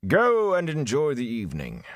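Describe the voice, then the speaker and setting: A man's voice: mid-range voice, wildly swooping pitch, moderate pace, clean studio sound, pitched above the performer's own voice. A man voicing a lazy, grandiose old minstrel with a reverberant voice. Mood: indolent. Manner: indolent, grandiose.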